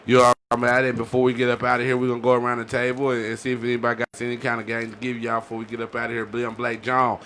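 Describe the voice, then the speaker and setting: A man's voice: mid-range voice, monotone, rapid-fire, hardly any pauses, fairly bright tone, a little hiss or hum. A man hosting a podcast in casual, slangy talk, peppering his sentences with repeated check-in phrases like "you know". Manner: repeats "you know what I'm saying" tags. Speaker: podcast host. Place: podcast studio.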